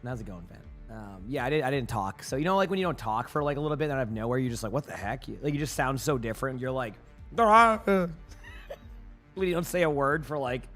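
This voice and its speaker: A male streamer chatting casually with his live chat, his voice hoarse and rambling with many restarts. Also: medium pitch, lively intonation, brisk, fairly bright tone, soft background music.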